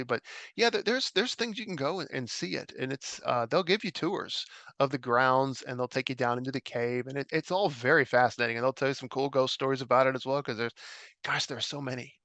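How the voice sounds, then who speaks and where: American guy rambling excitedly, lots of restarts, mid voice, dead dry mic. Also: medium pitch, dry recording. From United States. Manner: enthusiastic, stumbling restarts, laughs often. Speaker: podcast guest. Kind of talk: casual podcast chat.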